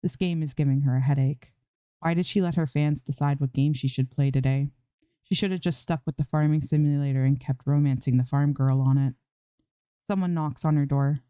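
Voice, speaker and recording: A woman's voice: low voice, fairly even intonation, brisk, dark tone, clear, resonant tone, dry recording, studio quality. A woman reading fan fiction aloud as an amateur narrator, in scripted storytelling style.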